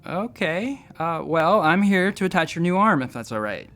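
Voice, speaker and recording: A gravelly, rambling space doctor. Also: male voice, fairly high pitch, very animated, moderate pace, fairly bright tone. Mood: uneasy, coaxing. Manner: hesitant, rambling, self-deprecating. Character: nervous, rambling male space-station doctor. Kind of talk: sci-fi audio drama acting, conversational first-person storytelling.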